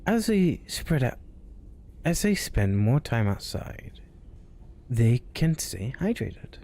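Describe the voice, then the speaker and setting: A man hosting a spooky story show, reading tales aloud in a hushed voice that often drops to a whisper. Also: medium pitch, sing-song, slow. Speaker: storyteller host.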